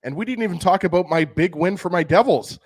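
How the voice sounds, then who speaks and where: A man's voice: sits high, quick pace, slightly rough. A man co-hosting a casual hockey talk show, enthusiastic and often checking in with "right?". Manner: enthusiastic, keeps asking "right?". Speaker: podcast co-host.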